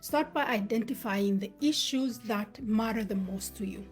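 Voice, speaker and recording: Female host for a live talk, originally from Uganda, raised in Sweden, earnest and encouraging, soft music under her voice. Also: medium pitch. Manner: earnest, motivational, with filler pauses. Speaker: host and founder. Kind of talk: livestream talk.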